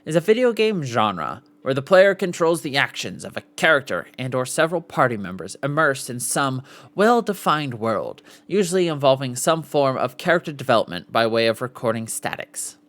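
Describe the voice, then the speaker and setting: A man's voice: mid-range voice, sing-song, moderate pace, fairly bright tone. A man hosting a casual live podcast, reading aloud and sometimes stumbling over the words. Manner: stumbles over foreign names, sounding them out. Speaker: podcast host.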